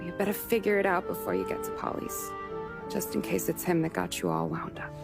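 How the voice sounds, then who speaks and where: An actress playing a shrewd, suspicious working-class wife in a video game, dry and sarcastic. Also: female voice, on the low side, sing-song, fairly quick, gravelly, music in the background. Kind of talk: cinematic video game acting.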